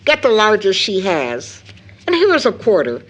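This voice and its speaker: A woman's voice: medium pitch, huge pitch swings, moderate pace, poor audio. A woman acting a tired, loving, anxious mother of two in an audio drama, with tender motherly endearments. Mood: fond, gently busy.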